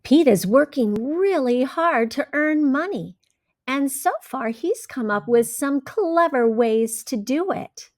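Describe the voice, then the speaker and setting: Female children's storyteller, high voice, very unhurried read-aloud delivery, close dry sound. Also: lively intonation, a little mellow, no echo. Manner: deliberate, simply phrased delivery for young children. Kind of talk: children's picture-book read-aloud with questions to listeners.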